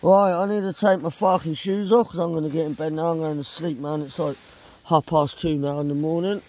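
A man's voice: on the high side, steady tone, fairly quick, a little mellow. A middle-aged man with a southern English accent, rambling bluntly and with plenty of swearing in a casual handheld vlog. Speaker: vlogger. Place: inside a small tent in the woods at night. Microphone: handheld phone at close range.

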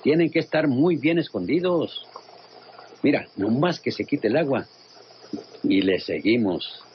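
An actor playing a teasing, rustic treasure thief in a radio drama, folksy, with a Mexican accent. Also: male voice, mid-range voice, expressive, natural voice. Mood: folksy, assured. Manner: unhurried, folksy, grunting. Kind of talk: radionovela acting. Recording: muffled, faintly noisy old radio drama.

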